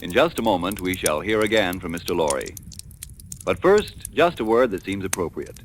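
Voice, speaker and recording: Low-voiced formal vintage radio announcer, male. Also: very animated, moderate pace. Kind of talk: 1940s scripted radio announcing. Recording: slightly noisy vintage radio recording.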